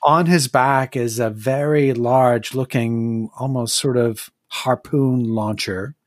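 A game master narrating a tabletop role-playing game in a descriptive way, pausing to search for words. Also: medium pitch, fairly even intonation, no echo, studio quality.

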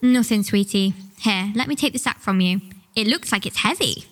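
A man voicing a bitter, scolding mother in a comic fairy tale, in a shrill voice. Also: moderate pace, a bit bright. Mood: falsely sweet.

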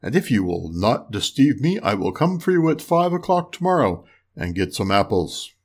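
Storybook big bad wolf, played by a male reader: deep, loud, sly and menacing, coaxing in drawn-out phrases. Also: sing-song. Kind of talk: storybook character voice.

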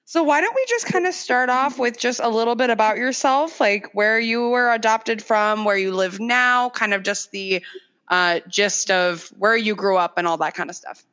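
A woman's voice: a little high, normal speed, fairly bright tone, clear, resonant tone. A woman co-hosting a casual podcast, chatty and loose, with many fillers and restarts. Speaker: podcast co-host.

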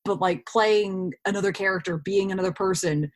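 A woman's voice: medium pitch, normal speed. A woman talking casually in an interview, acting out imagined quotes as she explains things. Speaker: interview guest, actor.

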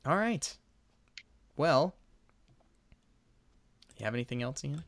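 A man's voice: mid-range voice, lively intonation, slow pace, a bit bright, no room sound. A man hosting a podcast in a casual, conversational review style. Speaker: podcast host.

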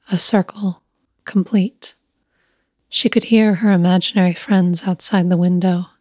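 A woman reading a scripted literary story in a measured, hushed, deliberate way. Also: fairly low pitch, dry recording, studio quality. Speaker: story narrator.

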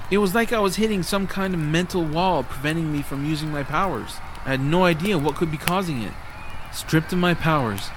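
A man's voice: fairly high pitch, background noise, lightly acted. Man as an earnest young boy hero narrating. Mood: distressed. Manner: earnest, youthful phrasing. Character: earnest young boy hero, self-doubting but determined. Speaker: first-person narrator. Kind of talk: dramatic audiobook narration and dialogue.

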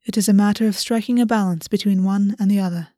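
A woman gives voice to a poised, quietly scheming male courtier in a fantasy audiobook, who speaks in a soft, breathy half-whisper. Her tone is warm and low-key, and the room sounds close and dry. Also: fairly even intonation, quick pace, no echo, natural voice. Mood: explaining.

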